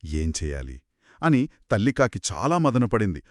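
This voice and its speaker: A man telling a children's story in a conversational, expressive way, with exclamations and short questions. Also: fairly low pitch, moderate pace, dry recording, clean studio sound.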